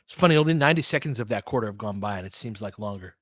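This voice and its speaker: A man's voice: lowish voice, lively intonation, racing, no echo, clean studio sound. A man giving informal live basketball play-by-play and analysis, conversational and openly partisan, like a fan of the home team.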